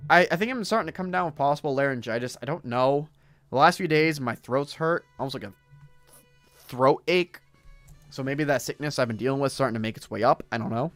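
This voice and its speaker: A hoarse man hosting a podcast stream, reading stories aloud and rambling to his chat. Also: mid-range voice, a little fast, a bit bright, no echo, soft background music. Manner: rambling, talks to chat while browsing. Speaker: podcast host.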